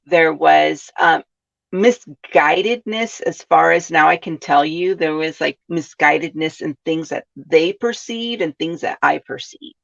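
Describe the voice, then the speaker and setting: A woman's voice: low voice, vocal fry. A woman from California hosting a reflective self-help podcast, often asking her listeners questions and adding "right?". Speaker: podcast host. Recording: dull lo-fi sound.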